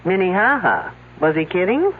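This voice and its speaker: An actress playing a sardonic, wisecracking woman detective in an old-time radio drama, brisk and quipping. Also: lowish voice, big pitch swings, slightly rough. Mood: skeptical. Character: wisecracking, dry-witted woman police detective. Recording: noisy, narrow-band radio drama recording.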